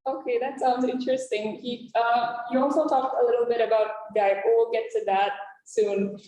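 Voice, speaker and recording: A young woman hosting a casual talk show, cheerful and quick to laugh. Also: a little high, fairly even intonation, warm tone. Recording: echoey room.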